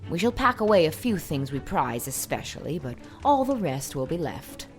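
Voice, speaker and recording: Proud, plain-spoken old spinster played by a woman; low, very animated delivery for storybook drama. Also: normal speed, faint music behind. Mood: businesslike. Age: older. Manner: emphatic, decisive, plain-spoken. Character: proud, brisk, decided old spinster lady. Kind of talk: dramatized audiobook acting.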